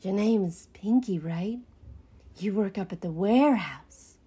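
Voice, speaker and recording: A woman voicing a scarred dockside rat in a story, speaking in a hushed, knowing way. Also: mid-range voice, slow, slightly rough. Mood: sly, insistent. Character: scarred, shifty dockside rat with secret tips.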